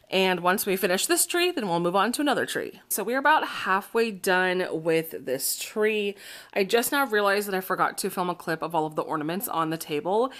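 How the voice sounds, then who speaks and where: A young woman in her twenties chatting casually on a home vlog, her voice slightly raspy from dust, with a chatty, upbeat manner. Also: on the low side, fairly bright tone. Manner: chatty, upbeat, filler-heavy. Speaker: vlogger. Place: home, indoors. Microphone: camera propped up nearby.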